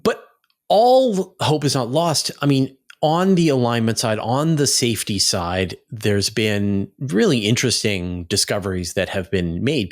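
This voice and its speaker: Low-pitched male interviewer, thoughtful and halting. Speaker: host, interviewer. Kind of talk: casual conversational interview.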